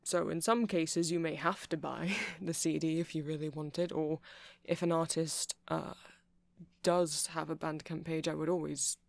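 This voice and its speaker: A man playing a nerdy, chatty vampire in an ASMR roleplay, rambling softly, earnestly and hesitantly about his interests. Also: a little high, little pitch movement, normal speed, gravelly, no room sound.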